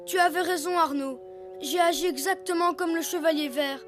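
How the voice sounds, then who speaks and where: Very high-pitched earnest little boy turtle. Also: fairly even intonation, normal speed, over music. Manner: earnest, childlike. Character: young boy turtle, eager, boastful, good-hearted. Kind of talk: French-dubbed children's cartoon acting.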